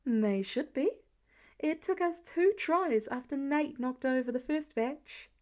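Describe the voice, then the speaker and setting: A woman's voice: sits high, expressive, slow pace. A woman voicing a playful, giggly wife and mother who teases and banters, as audiobook dialogue. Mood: teasing.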